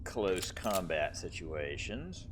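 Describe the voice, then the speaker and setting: A man's voice: sits low, very animated, slow. A man hosting a let's play, casually commentating and explaining his tactics as he plays. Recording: clear game noise behind voice. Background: video game sound effects.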